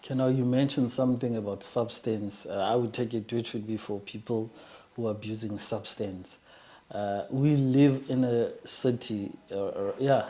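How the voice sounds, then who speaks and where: A woman's voice: a bass voice, mellow. A woman hosting a podcast interview, asking questions with restarts and brief affirmations. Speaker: podcast host.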